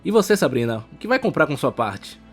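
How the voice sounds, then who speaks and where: A man's voice: fairly high pitch, brisk, slightly rough. A man voicing an easygoing young brother, practical and casual, as an audiobook character, with music behind. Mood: cheerful, teasing. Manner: casual, animated.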